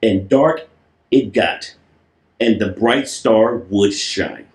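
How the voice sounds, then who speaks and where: A man's voice: fairly low pitch, takes it slowly. A man reading a children's storybook aloud in a playful, theatrical way, stretching and repeating words for effect. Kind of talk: animated storybook read-aloud with playful asides. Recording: roomy, echoing voice recording.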